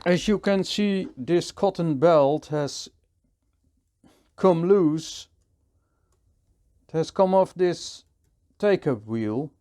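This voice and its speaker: A man with a Dutch accent, calmly thinking aloud as he works through a hands-on repair tutorial. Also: on the high side, extremely slow, long pauses. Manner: calm, thinking aloud while working. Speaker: repair technician.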